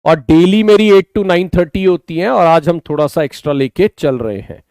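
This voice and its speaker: A man with an Indian accent, slightly hoarse from a sore throat, patiently explaining English vocabulary in an online class, mixing Hindi and English and urging students to answer. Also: on the high side, normal speed, darkish tone, dry recording. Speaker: English teacher.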